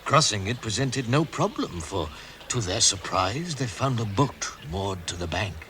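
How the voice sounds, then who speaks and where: An actor as a grave narrator, telling a fantasy story in a measured, theatrical radio drama manner. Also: male voice, on the low side, animated, sharp tone. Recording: noisy vintage radio recording.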